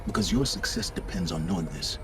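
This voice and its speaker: An actor playing an aloof, calculating mentor in a video game, speaking in a deliberate, theatrical way. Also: male voice, low-pitched, over music, close to an everyday voice. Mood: intense, instructive. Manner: deliberate, eloquent, theatrical phrasing. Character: aloof, calculating male mentor confessing his part in a cult. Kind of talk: dramatic video game dialogue.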